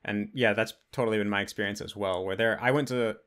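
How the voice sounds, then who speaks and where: A man's voice: lowish voice, fairly even intonation, fast, a bit bright. A man, a native English speaker, hosting a casual interview and working toward his questions in a rambling way. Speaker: host, interviewer.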